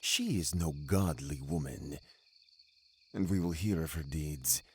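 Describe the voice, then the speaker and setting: An actor playing a stern, pious older Puritan magistrate in an audio drama, speaking gravely and with command. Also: male voice, a bass voice, unhurried, a little mellow, heavily acted. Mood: grave, menacing.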